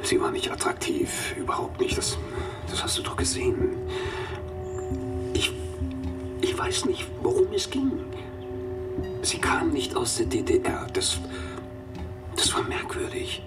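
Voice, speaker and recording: Half-whispering, evasive East German informer. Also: male voice, a little high, wildly swooping pitch. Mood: defensive, halting. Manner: halting, self-justifying. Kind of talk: radio drama acting. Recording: distant, under loud music.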